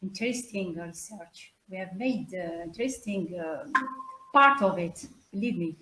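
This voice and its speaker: A woman speaking as a guest expert in an informal webinar, haltingly and repeating words for emphasis. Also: fairly low pitch, bright, forceful tone, slight background noise, poor audio. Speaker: guest expert, English teacher trainer.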